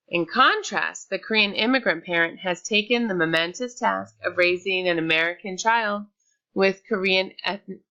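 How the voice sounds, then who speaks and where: Woman presenter, bright tone, lo-fi mic, reads her script with small restarts. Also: medium pitch, creaky. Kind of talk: read-aloud slide presentation.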